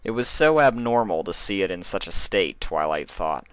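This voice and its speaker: A man reading a scripted story as narrator, with dramatic, deliberate delivery. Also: deep voice, very animated, fairly bright tone, clean tone, no echo. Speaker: fan fiction reader and narrator.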